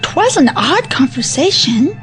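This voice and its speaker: A woman's voice: high-pitched, very animated, measured pace, exaggerated voice. An actress playing a timid young outsider woman in an audio drama, with stilted, formal phrasing. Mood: puzzled. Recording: loud music under the voice.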